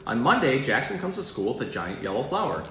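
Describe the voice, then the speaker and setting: A man's voice: medium pitch, very animated, quick pace, sharp tone. A man giving simple, child-friendly explanations and storybook reading in the informal style of an animal educator. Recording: roomy echo, some background noise.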